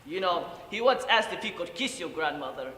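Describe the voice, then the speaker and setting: A man's voice: sits high, lively intonation, bright, forceful tone. Young man playing a guilt-ridden old Ukrainian grandfather, echoey hall. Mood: wistful, tender. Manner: halting broken English with sighs and murmured asides. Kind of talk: competitive dramatic monologue. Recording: echoey hall, distant, audible room noise.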